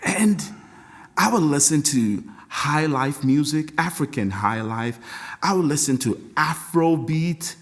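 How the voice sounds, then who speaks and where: A middle-aged man from Nigeria with a Nigerian accent, a music educator chatting as a podcast guest, reflective and animated, laughing often as he tells stories. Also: on the high side, takes it slowly. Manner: reflective, animated storyteller with frequent laughs and restarts. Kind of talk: casual interview talk.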